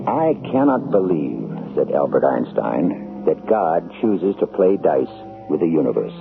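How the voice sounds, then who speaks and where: A man hosting a radio drama, speaking in a measured, philosophical, deliberate way. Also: very deep voice, big pitch swings, creaky. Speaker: host of a radio drama series. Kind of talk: 1970s radio drama host commentary. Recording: tinny old radio broadcast with music.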